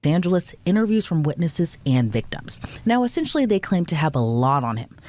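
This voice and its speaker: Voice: mid-range voice, very animated, brisk, darkish tone. A narrator reading a scripted news explainer with punchy, dramatic phrasing.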